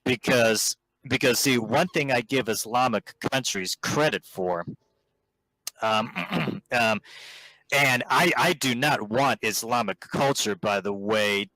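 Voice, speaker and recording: Halting, bright-voiced man debating. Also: medium pitch, no echo, rough recording. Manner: halting, many restarts and ums. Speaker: vegan activist. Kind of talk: debating on a voice call. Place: online voice chat.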